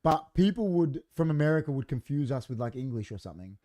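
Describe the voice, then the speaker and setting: A man's voice: mid-range voice, lively intonation, dark tone, no echo, clean studio sound. An Australian man co-hosting a casual podcast, chatting loosely and slipping into impressions of other voices. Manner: chatty, quick to mimic voices and laugh. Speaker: podcast co-host. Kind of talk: casual banter. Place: studio.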